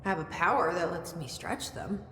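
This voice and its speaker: An actress playing a determined, guarded video game heroine who speaks in short, deadpan lines. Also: female voice, fairly low pitch, normal speed, close to an everyday voice. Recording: echoey hall with faint noise.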